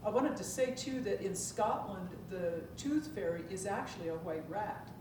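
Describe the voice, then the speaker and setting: A woman talks informally to an audience as one of a panel of authors. Her pitch is middling. The sound is heavily reverberant and distant, with clear background noise. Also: lively intonation, moderate pace. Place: public room at a library event. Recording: echoey noisy room, far from mic.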